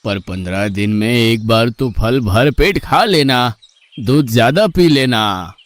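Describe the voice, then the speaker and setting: A man voicing a patient but strict old ashram guru who admonishes his disciple in a slow, fatherly way, in a cartoon story. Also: medium pitch, lively intonation, no room sound. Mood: admonishing. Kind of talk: Hindi cartoon story dubbing.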